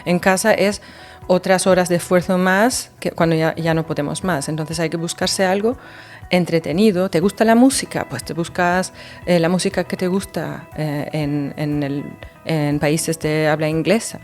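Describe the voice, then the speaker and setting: A woman's voice: deep voice, moderate pace, soft background music. Woman, encouraging language teacher. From Germany. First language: German. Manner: lively, encouraging, explains with examples. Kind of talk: educational radio chat.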